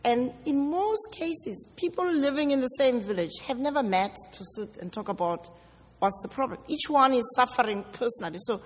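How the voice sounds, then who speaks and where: A woman with a South African accent answering audience questions at a public talk, deliberate and emphatic, with rhetorical questions. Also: high voice, lively intonation. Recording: echoey hall with long reverb.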